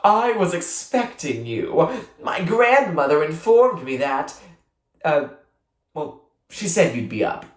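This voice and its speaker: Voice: mid-range voice, very animated, slow. A storybook reader voicing a young girl who puts on offhand, worldly Hollywood airs. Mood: offhand, showy. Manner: showy, offhand, self-important. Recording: roomy echo.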